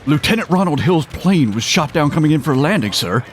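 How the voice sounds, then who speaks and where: A man's voice: on the high side, very animated, brisk, slight background noise. A man playing a frantic, stammering army private in a wartime horror audio drama, urgent and shaken. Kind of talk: war scene audio drama acting.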